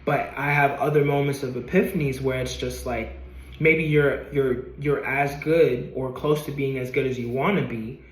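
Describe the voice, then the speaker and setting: Male vlogger, late twenties, mid-pitched, earnest motivational rambling with casual cursing, echoey room sound. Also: steady tone, normal speed. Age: 20s. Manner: earnest, rambling, swears casually, repeats himself. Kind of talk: motivational vlog talking to camera. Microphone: camera.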